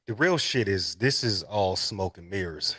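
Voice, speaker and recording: A man's voice: deep voice, expressive, a little slow, fairly bright tone, husky, dry recording, clean studio sound. A younger adult man arguing bluntly and combatively in a heated, profane podcast debate. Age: millennial adult, 20s to early 40s.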